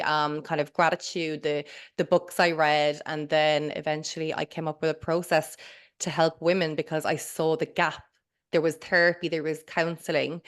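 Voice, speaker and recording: An Irish woman in her thirties, a coach chatting as a podcast guest in a rambling, candid way. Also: deep voice. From Ireland. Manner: chatty, rambling, swears and self-interrupts. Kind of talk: casual interview chat.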